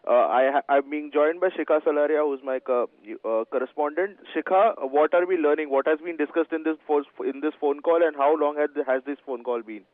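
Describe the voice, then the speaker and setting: A man's voice: on the high side, a little fast, hardly any pauses, no echo, narrow, tinny audio. A man with an Indian accent anchoring live breaking news in an urgent manner.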